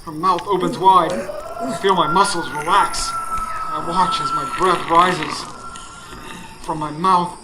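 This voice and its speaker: A man's voice: sits high, huge pitch swings, takes it slowly, long pauses, heavily acted. A man playing a grieving, frightened narrator in a live radio horror drama, telling it dramatically in the first person. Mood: terrified. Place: studio before live audience. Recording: noisy live radio stage recording.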